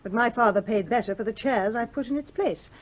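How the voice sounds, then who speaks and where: A woman's voice: on the high side, brisk, natural voice. An actress in a radio play playing a genteel, scrupulous mother who is polite but flustered and argumentative. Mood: defiant.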